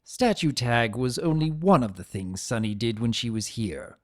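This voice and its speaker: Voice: mid-range voice, normal speed, dry recording, clean studio sound, natural voice. A performer voicing a wry, grumbling male spirit of chaos, sarcastic and theatrical, telling a story in the first person. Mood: amused, dry. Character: Discord, sardonic, grumbling male spirit of chaos turned statue.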